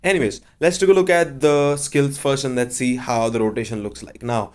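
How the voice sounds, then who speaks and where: A man's voice: mid-range voice, steady tone, moderate pace. A man with a cold hosting a casual game walkthrough, chatty and blunt with offhand verdicts. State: has a cold. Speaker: gaming channel host.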